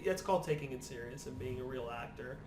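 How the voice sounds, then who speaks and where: A man's voice: mid-range voice, quick pace, music in the background. A man on a podcast panel, chiming in casually with short remarks about films. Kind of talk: casual film discussion, short interjections. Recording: distant echoey mic, background voices.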